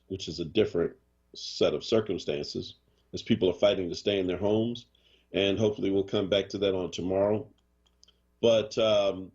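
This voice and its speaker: A man's voice: lowish voice, husky, highs cut off. A man hosting a morning radio show in casual chat, joking and teasing, with a Boston accent. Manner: animated, joking, does mock voices. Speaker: radio host.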